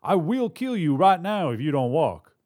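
A man's voice: fairly high pitch, animated, a little slow, a little mellow, voice pushed up. A man voicing a hard, bullying male bank robber in an audio story, barking sarcastic commands. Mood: menacing.

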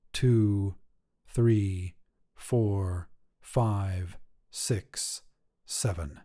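A man's voice: on the low side, warm tone. A man narrating a scripted short story as a podcast reader, with a dramatic delivery.

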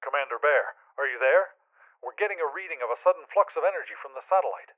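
A man's voice: a little high, animated, fast, narrow, tinny audio, pitched above the performer's own voice, close to an everyday voice. A man voicing an urgent space mission operator calling over comms in a science fiction story, with a clipped manner. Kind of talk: character voice in science fiction narration.

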